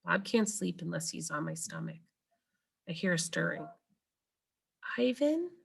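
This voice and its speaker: A woman's voice: lowish voice, wildly swooping pitch, moderate pace, creaky, fairly bright tone, slightly rough. A woman reading a children's novel aloud as a storyteller. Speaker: read-aloud reader.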